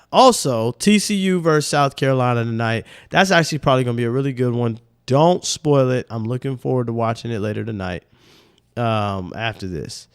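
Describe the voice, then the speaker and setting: A man's voice: medium pitch, moderate pace, clear, resonant tone, dry recording, studio quality. A young man with a slightly nasal voice, hosting a sports podcast in loose, rambling casual talk. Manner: loose, animated, rambling with slang.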